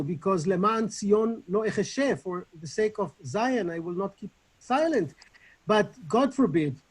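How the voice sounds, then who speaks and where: A middle-aged Israeli man with a noticeably high-pitched voice presents a session from his home. He talks over a video call in a halting way, pausing often and restarting his sentences as he goes. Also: darkish tone, slightly rough, rough recording. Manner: halting, with many pauses and restarts. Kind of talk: informal online lecture.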